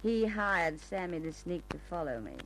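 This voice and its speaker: A woman's voice: fairly low pitch, expressive, moderate pace, noisy background, natural voice. Woman acting coy, flirty radio crime dame. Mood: taunting. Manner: coy, teasing. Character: flirty, suspicious, tipsy underworld dame. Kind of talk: old-time radio crime drama acting. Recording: old radio recording with music.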